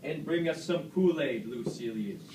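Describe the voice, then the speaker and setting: A man's voice: on the high side, expressive, unhurried. Man as stern Roman leader, distant and echoey. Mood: commanding. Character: Brutus, stern, self-righteous Roman leader. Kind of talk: amateur puppet-show Shakespeare parody. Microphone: distant camera microphone. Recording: echoey noisy room, far from mic.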